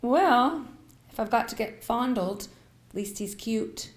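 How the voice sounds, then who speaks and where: A woman's voice: a little high, expressive, slow. A woman voicing a stubborn, wry elderly mother in a story read aloud. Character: stubborn, wry elderly mother who gets the last word. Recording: echoey, roomy sound.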